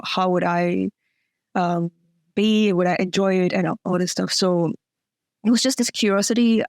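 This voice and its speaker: A woman's voice: medium pitch, darkish tone, dry recording. A woman from Singapore talks as a podcast guest in a mid-range voice. She answers conversationally with "like" and "um" fillers, recorded dry. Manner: chatty, uses "like" and "um" fillers.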